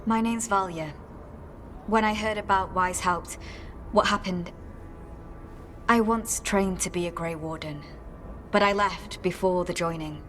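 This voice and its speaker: Nervous solemn ex recruit, halting talk, game scene, faint music behind. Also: female voice, lowish voice, fairly even intonation, a bit bright. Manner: hesitant, solemn. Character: nervous, earnest female former warden recruit. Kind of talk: game dialogue acting.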